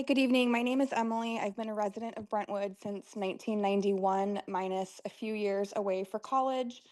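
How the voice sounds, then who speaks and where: Quick, earnest woman commenter. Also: medium pitch, a little mellow, rough recording. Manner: earnest and direct. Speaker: local resident giving public comment. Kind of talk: public comment at a council meeting.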